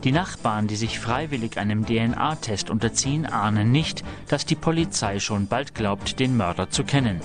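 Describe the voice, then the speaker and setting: A man's voice: mid-range voice, lively intonation, moderate pace, few pauses. A man announcing a radio play and reading a scripted recap. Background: prominent music.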